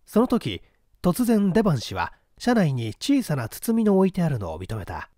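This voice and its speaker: A man's voice: fairly high pitch, wildly swooping pitch, normal speed, warm tone, no echo, clean studio sound. A man narrating a literary story as an audiobook reader, in a scripted style.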